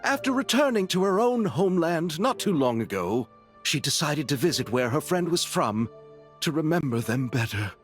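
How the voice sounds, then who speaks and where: A man's voice: fairly high pitch, big pitch swings, normal speed. A man voicing a flamboyant, dreamy film producer in a game, speaking in theatrical, florid phrases. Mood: sheepish. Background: music.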